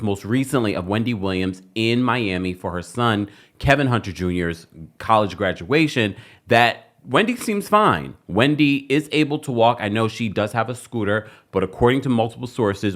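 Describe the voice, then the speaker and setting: A man with an American accent presents pop culture news to viewers. His voice sits on the low side and swings widely in pitch. He phrases things emphatically and deliberately, like a commentator. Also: fairly bright tone.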